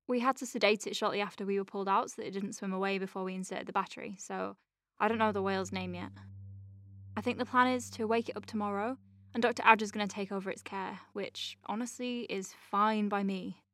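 An earnest doctor at a space clinic , acted by a woman talks in a medium-pitched, natural voice. She is gently wry and self-deprecating, with slight hesitations. The delivery is intimate, conversational audio drama. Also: fairly even intonation, fairly quick, no room sound, lightly acted. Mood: wry, relieved.

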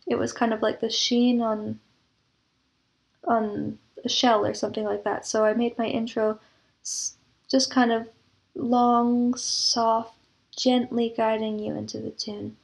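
Higher-pitched woman with a creaky voice. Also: level tone, unhurried. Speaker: guest composer and audio drama producer. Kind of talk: reflective interview talk.

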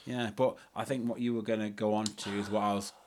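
A man's voice: fairly low pitch, flat delivery, fast, a little mellow, slight background noise. A man chatting casually as a podcast co-host, feeling his way through phrases to blunt verdicts. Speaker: theatre review podcast co-host. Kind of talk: casual chat.

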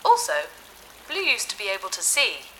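A woman's voice: medium pitch, wildly swooping pitch, normal speed, pushed and bright, a little hiss or hum, rough recording. A woman playing a naive, childlike ship AI with a villainous streak in a sci-fi audio drama, bluntly calling itself by name. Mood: playful.

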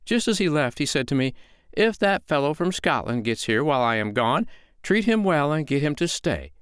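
A man voicing a calm, sly foster mother in a storybook, with a lilting, mock-innocent, needling way of talking. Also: a little high, moderate pace, smooth, no room sound, clean studio sound, pitched above the performer's own voice. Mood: calm, teasing.

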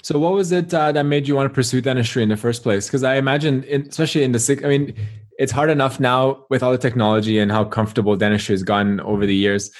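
A young man hosts a podcast in a friendly, mid-pitched voice. He reads a scripted intro, then slips into a casual interview with plenty of filler words. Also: fairly even intonation, fast. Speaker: podcast host and dentist. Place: Australia.

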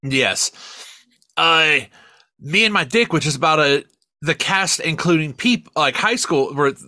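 A man's voice: fairly high pitch, expressive, measured pace, pushed and bright, dry recording, clean studio sound. A man co-hosting a podcast, chatting casually and enthusiastically about media, with plenty of swearing. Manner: profane, enthusiastic. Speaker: podcast co-host.

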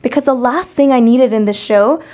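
A woman with a fairly high voice shares anecdotes as an interview guest. She talks casually and emphatically, in an animated storytelling way. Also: moderate pace. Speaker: interview guest, stage actress.

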